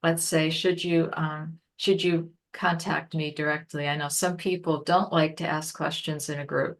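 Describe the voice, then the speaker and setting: A woman presenting an informal webinar, explaining things haltingly and rephrasing as she goes. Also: low voice, flat delivery. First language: English.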